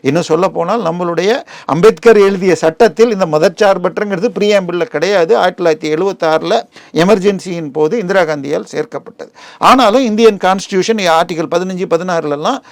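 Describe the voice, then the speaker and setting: Tamil nadu guy, bit high pitched, news explainer who goes off on tangents, very dry sound. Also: brisk, no echo. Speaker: interviewed commentator. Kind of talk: explanatory news interview talk.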